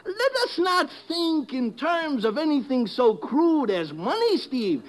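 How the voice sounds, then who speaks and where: A crafty old shopkeeper haggles in a high, swooping voice. He drawls slowly and floridly and flatters his customer. A scene from a 1950s radio drama. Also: full character voice. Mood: wheedling. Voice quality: wheedling, florid, foreign-sounding. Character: sly old male Cairo shopkeeper peddling cracked bells. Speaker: informant.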